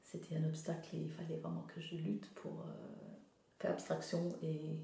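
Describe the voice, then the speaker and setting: Woman from Zimbabwe, English-native, art therapist; dark gravelly tone, halting delivery, roomy echo. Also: sits low, completely flat delivery. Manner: hesitant, searching for words, many fillers. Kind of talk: casual interview. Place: studio workshop.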